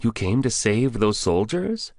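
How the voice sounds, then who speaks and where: A man's voice: on the low side, very animated, unhurried, a little mellow, dry recording, clean studio sound, voice pushed up. A man voicing a frightened young storekeeper. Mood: wondering. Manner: anxious, pleading, bitter. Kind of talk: audiobook character voice.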